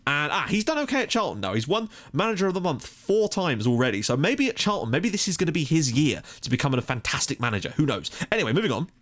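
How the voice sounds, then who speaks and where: Lively, quick-talking man narrating a game. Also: on the high side. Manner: jokey, rambling, animated. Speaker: let's play host. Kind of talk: casual game commentary.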